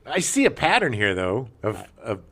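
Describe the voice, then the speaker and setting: A man's voice: mid-range voice, huge pitch swings, normal speed, a bit bright, slightly rough. A man co-hosting a podcast in casual chat, with sarcastic wisecracks. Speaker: podcast co-host.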